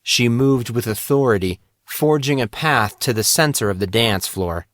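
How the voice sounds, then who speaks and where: A man's voice: medium pitch, animated, normal speed, a bit bright, dry recording, clean studio sound. A man reading scripted fantasy fiction as an audiobook narrator.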